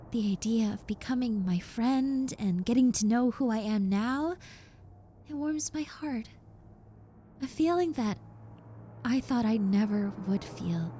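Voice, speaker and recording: A woman playing an earnest, remorseful reformed stalker roommate in an intimate audio roleplay, speaking softly and hesitantly. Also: on the high side, a little hiss or hum. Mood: touched, grateful. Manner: soft, hesitant, halting with repeated words.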